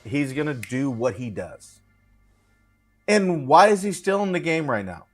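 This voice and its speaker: A man in his thirties, a former pitcher on a sports panel, talking casually in a blunt, repetitive way. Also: very animated, a little slow, soft background music. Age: 30s.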